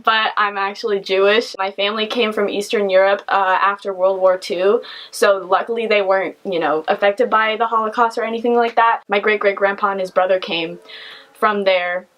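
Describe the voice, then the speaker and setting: A teenage girl, a high school senior, talking casually in a documentary interview. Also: fairly even intonation, normal speed, a bit bright, low-quality recording. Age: teens.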